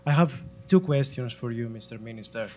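A man with a Greek accent, a journalist, putting a formal, slightly halting question from the audience. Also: mid-range voice, darkish tone, soft background music. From Greece. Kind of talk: audience question at a public forum. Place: conference hall. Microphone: handheld microphone.

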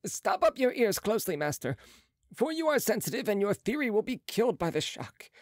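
A man's voice: high voice, big pitch swings, fairly quick, no echo, clean studio sound, voice pushed up. A man voicing a sceptical, mocking male physician who declaims in an audiobook. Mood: scornful, lecturing. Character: sceptical, scornful male physician with materialist views. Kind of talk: audiobook character voice.

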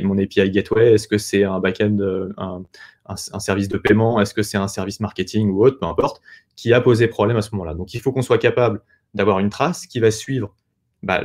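A man's voice: on the low side, a little mellow. A young man giving an informal technical webinar presentation as a sales engineer, explaining conversationally with rhetorical questions over a video call. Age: young adult. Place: small indoor room on a video call.

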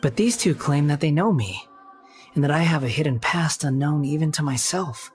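A man's voice: on the high side, animated, faint music behind, natural voice. Man playing a composed, guarded young wanderer. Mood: polite. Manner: measured, formal, reserved. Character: young male wanderer with lost past, polite, composed, guarded. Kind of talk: video game voice acting.

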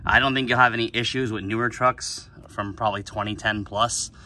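Bright-voiced man narrating a driveway DIY job. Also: on the low side, fairly quick, a little hiss or hum. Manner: relaxed, plain-spoken, modest. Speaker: DIY channel host. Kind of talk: casual how-to narration. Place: outdoors on a concrete driveway. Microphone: camera mic, one hand on camera.

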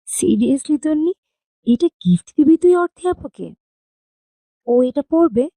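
A spoiled, sneering young woman whose voice swoops up and down as she taunts, put on by a woman narrating a romance story. Her tone is mellow and loud, recorded close and dry. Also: high voice, lively intonation, a little slow, dry recording, rough recording. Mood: mocking. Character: snobbish mocking young woman.

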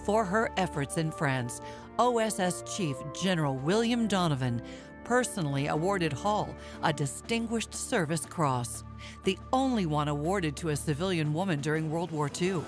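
A woman with a notably low voice narrates in a measured, formal voice-over. Clear music runs underneath her. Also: lively intonation. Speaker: documentary narrator. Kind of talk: scripted documentary narration.

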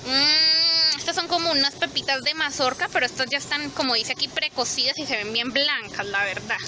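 A woman's voice: high voice, a little fast, background noise. A young woman, chatting to viewers as she films her walk through a supermarket. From Colombia. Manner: chatty, expressive, addresses viewers directly. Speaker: vlogger. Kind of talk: casual walk-through vlog. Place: supermarket aisles, indoors.

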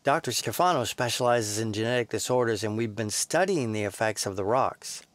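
An actor playing a calm, earnest researcher who explains things helpfully in an audio drama. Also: male voice, on the low side, fairly quick, dry recording, close to an everyday voice. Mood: earnest, concerned.

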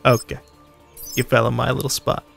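A man's voice: medium pitch, flat delivery, moderate pace, gravelly, music in the background. A man hosting a casual gaming session, laid-back and teasing, laughing easily. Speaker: host.

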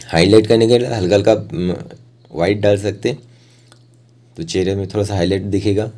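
Guy doing a craft tutorial voiceover, lowish soft dark tone, plain and informal, step by step. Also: steady tone, moderate pace. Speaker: rangoli art instructor.

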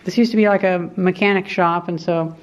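A woman's voice: fairly low pitch, steady tone, brisk. A woman casually narrating as she explores a cluttered boiler room, talking technically and using lots of fillers. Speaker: vlogger exploring a basement. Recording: echoey basement, dull camera audio, faint hiss.

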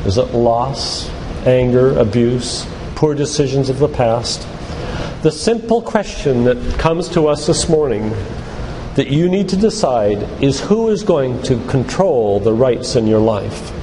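A man's voice: medium pitch, expressive, measured pace. Older man preaching in a noisy echoey hall. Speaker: doctor and guest church speaker. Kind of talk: church sermon-lecture to a congregation. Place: church, live talk. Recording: echoey hall with loud background noise.

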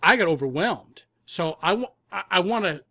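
A man's voice: high voice, animated, normal speed, bright, forceful tone, no room sound, clean studio sound. A man giving conversational interview answers as a guest producer, explaining things at length in rambling detail. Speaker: audio drama producer and composer, guest.

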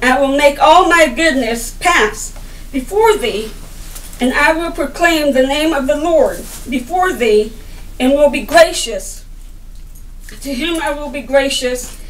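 A middle-aged woman reading the Bible aloud as a sermon, in deliberate broken phrases with stumbles over the words. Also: high voice. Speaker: lay preacher reading scripture. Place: indoor room by a window. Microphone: camera a little way off. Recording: roomy, distant camera microphone.

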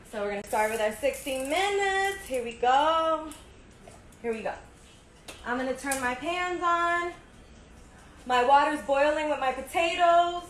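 A woman in her thirties hosting a casual home cooking video, talking through each step in a chatty way and often checking in with "right?". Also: sits high. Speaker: home cook host. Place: home kitchen, indoors. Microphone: phone camera at arm's length. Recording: echoey kitchen with noise.

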